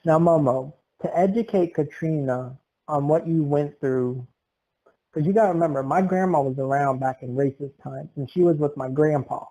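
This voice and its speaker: A man's voice: fairly high pitch, moderate pace, mellow, rough recording. A young man hosting a podcast, telling exaggerated comic stories. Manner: exaggerated tall-tale telling. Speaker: podcast host. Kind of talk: comic storytelling.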